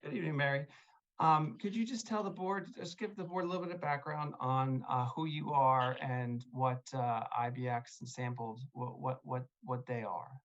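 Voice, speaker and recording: A man's voice: medium pitch, steady tone, moderate pace. A man speaking as an attorney at a zoning hearing over a video call, polite and hesitant with many fillers. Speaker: attorney for the applicant. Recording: dull lo-fi call audio.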